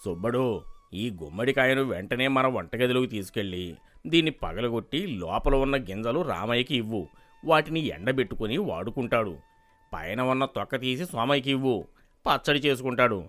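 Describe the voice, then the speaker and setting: A man's voice: medium pitch, animated, normal speed, fairly bright tone, lightly acted. A man playing a gluttonous, short-tempered landlord with a pompous, commanding manner in a storybook cartoon. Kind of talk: animated storybook dialogue.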